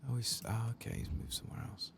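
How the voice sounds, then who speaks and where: A soft-spoken man giving low-key, unhurried ASMR commentary as he streams a video game, sometimes dropping to a whisper. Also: a crowd in the background.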